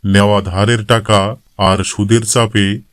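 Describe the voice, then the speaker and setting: A man's voice: on the low side, level tone, unhurried, fairly bright tone, smooth, no room sound, studio quality. A man reading scripted narration for a cartoon moral story as the storyteller.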